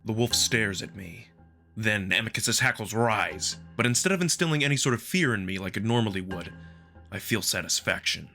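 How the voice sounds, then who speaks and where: A man's voice: fairly low pitch, lively intonation, moderate pace, creaky, pushed and bright, soft background music. A man narrating a visual novel in the first person with scripted, dramatic delivery. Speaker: narrator and voice performer.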